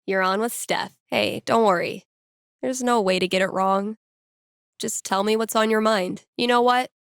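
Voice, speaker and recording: Wry young American radio DJ, played by an actress. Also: female voice, mid-range voice, fairly even intonation, normal speed, gravelly, smooth, no echo, studio quality, natural voice. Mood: wry, teasing. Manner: wry, playful, conversational. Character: witty young woman small-town radio DJ and fortune teller. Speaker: radio host. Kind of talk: casual local radio announcements, video game voice acting.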